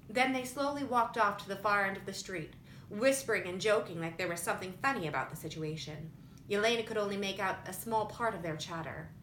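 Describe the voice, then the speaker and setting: A woman reading scripted fiction aloud as an audiobook narrator. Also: fairly bright tone. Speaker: audiobook reader. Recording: roomy echo with faint rumbling noise.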